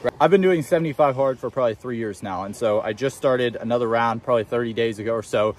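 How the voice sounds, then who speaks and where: Fast-talking eighteen-year-old motivational vlogger. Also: male voice, medium pitch, a little hiss or hum. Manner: fast, emphatic self-help preaching. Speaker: young entrepreneur vlogger. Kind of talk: motivational vlog talk to camera. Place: outdoors on a beach.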